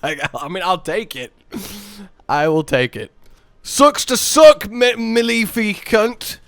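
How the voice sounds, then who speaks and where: A man in casual, playful gameplay banter as a co-host, excitable and given to swearing. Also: high voice, takes it slowly, creaky, clean tone, no echo, studio quality. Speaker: co-host of a gaming video.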